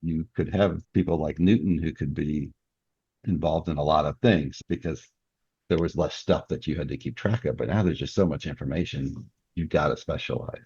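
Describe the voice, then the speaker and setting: A man with an extremely deep, creaky voice speaks as an author being interviewed. He explains himself casually and conversationally. The recording is dry and slightly dull. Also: moderate pace, a little mellow, no echo.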